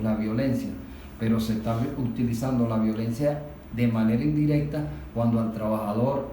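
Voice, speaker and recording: A Venezuelan man in a political discussion, explaining things didactically and deliberately, with emphatic points and rhetorical questions. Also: mid-range voice, warm tone. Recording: very echoey room, distant mic, faint noise.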